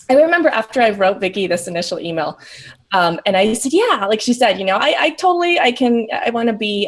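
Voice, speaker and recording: A woman from Peru, a coach for moms, speaking as an interview guest in an earnest, reflective way with many halting restarts. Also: a little high. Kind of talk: casual interview chat.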